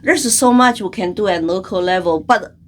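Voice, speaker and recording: A woman's voice: mid-range voice, normal speed. A middle-aged woman from China whose first language is Chinese, speaking as a guest in an interview, earnest, with many fillers and restarts. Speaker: guest, congressional candidate. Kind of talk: interview conversation.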